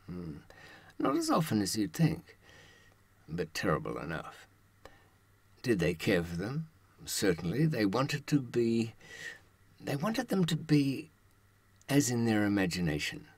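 A man in his seventies speaks in a low, husky voice that rises and falls a great deal. He delivers a reflective monologue with deliberate, theatrical phrasing and weighted pauses. The recording is close and dry. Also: no echo. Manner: deliberate, dramatic phrasing with pauses and rhetorical questions. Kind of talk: reflective spoken monologue to camera.

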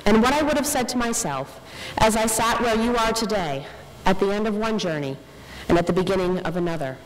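A young woman giving an earnest, measured inspirational speech as a faculty speaker at a podium microphone. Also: mid-range voice. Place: large indoor ceremony hall, on stage. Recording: reverberant hall with clear background noise.

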